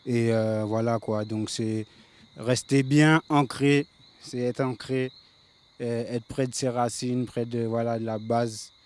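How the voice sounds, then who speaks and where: Flat-voiced man in his thirties. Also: mid-range voice, takes it slowly, clean tone. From Paris suburbs. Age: 30s. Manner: laid-back, many fillers, flat delivery. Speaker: reggae singer guest. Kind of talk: casual interview.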